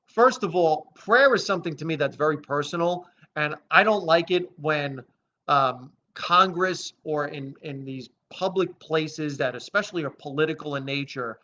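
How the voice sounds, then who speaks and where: A man's voice: a little high, normal speed, bright, forceful tone, a little hiss or hum. An American man who grew up speaking Italian gives off-the-cuff news commentary in an opinionated, emphatic, conversational way. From United States, raised by Italian immigrants. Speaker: host of a commentary vlog. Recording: dull lo-fi audio.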